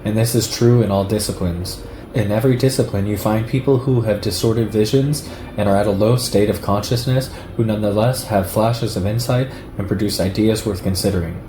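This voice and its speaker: Low male narrator, measured political lecture read from text, roomy sound with noise behind. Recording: echoey room with clear background noise.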